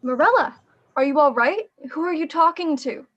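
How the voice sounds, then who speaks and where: A devoted, worried assistant telling a gothic tale in a radio drama. The voice is high and earnest, with literary phrasing, on a slightly dull recording. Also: female voice, lively intonation, moderate pace, a bit bright. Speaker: voice actor and narrator. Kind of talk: radio play narration.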